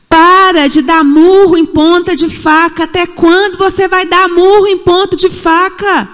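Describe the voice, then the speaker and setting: A Brazilian woman in her forties preaching a sermon in a church, emphatic and repetitive, with rhetorical questions. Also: very high voice. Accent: Brazilian Portuguese. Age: 40s. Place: church sanctuary. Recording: echoey church hall.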